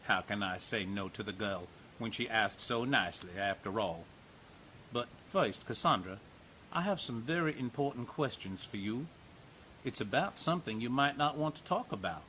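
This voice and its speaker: Male lead, wry narrator-investigator, lively delivery, light hiss. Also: lowish voice, moderate pace, poor audio. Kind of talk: radio drama narration and acting.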